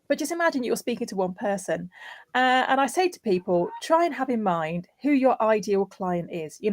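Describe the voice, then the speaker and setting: A woman's voice: mid-range voice, darkish tone, no echo. Woman explaining tips, close dry sound. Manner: illustrates points with everyday examples and imagined callers. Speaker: guest voiceover artist. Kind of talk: conversational explainer giving practical tips.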